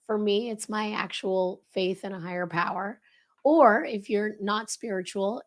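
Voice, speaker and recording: Guest speaker, woman, hoarse and crackly today, brisk coaching delivery. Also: mid-range voice. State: hoarse, voice lost. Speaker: leadership consultant guest. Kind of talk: explaining a leadership framework.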